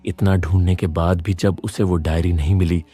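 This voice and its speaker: A man's voice: low-pitched, fairly even intonation, normal speed, dark tone, breathy edge, soft background music. A man reading scripted narration for a moral story as its narrator.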